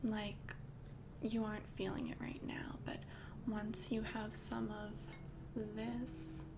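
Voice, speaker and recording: A young woman voicing a caring young vampire girlfriend, mostly whispering in a casual, rambling way, recorded close with loud hiss behind. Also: mid-range voice, level tone, takes it slowly, vocal fry. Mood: gentle whisper. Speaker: ASMR creator. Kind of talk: ASMR roleplay.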